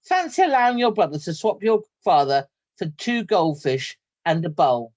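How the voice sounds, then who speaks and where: A teacher doing a brisk, firm mother. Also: mid-range voice, very animated, a little mellow, muffled recording. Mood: stern. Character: mother, polite and then stern.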